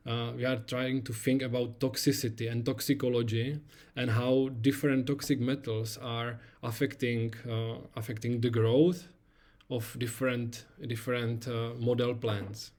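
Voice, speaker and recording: Hesitant Czech man giving a flat-toned talk. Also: medium pitch, measured pace. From Czech Republic. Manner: hesitant, many fillers and repeated words. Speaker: research scientist presenting. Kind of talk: conference talk over slides.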